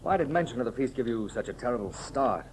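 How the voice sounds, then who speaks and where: An actor in a 1930s radio serial playing a bold, commanding hero emperor with a declamatory, decisive delivery. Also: male voice, mid-range voice, expressive, fast, close to an everyday voice. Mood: puzzled, concerned. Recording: noisy old 1930s radio recording.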